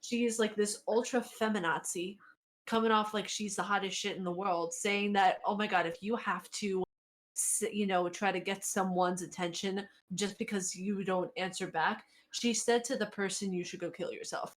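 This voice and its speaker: A woman's voice: medium pitch, steady tone, moderate pace. A woman telling anecdotes in casual podcast chat, informal, slangy and sweary. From American Israeli background. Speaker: podcast panelist who streams.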